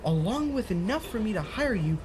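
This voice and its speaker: A woman's voice: mid-range voice, big pitch swings, brisk, noisy background, close to an everyday voice. A woman playing a cheerful, shady fixer who talks in a sing-song children's show manner in a parody audio drama. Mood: placating.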